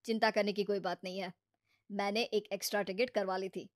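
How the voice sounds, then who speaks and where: A woman's voice: medium pitch, little pitch movement, brisk, dry recording, studio quality, lightly acted. A woman voicing a sensible, patient married man who gives earnest advice in a moral story. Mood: reassuring. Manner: earnest, advising. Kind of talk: animated moral story character acting.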